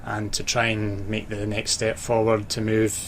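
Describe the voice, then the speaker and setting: A man with a mixed Scottish accent from Dundee, Glasgow and Edinburgh, chatting thoughtfully and haltingly as a radio interview guest. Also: on the low side, completely flat delivery. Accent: Scottish, mix of Dundee, Glasgow and Edinburgh. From Dundee, Scotland. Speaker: interview guest, author and sports journalist. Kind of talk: casual radio interview chat. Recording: clear background noise.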